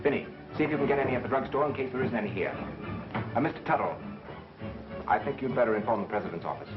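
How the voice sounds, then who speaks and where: An actor playing a cool-headed, commanding man in 1930s film style, brisk and matter-of-fact. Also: mid-range voice, lightly acted. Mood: brisk, grave. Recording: muffled 1930s film soundtrack with music.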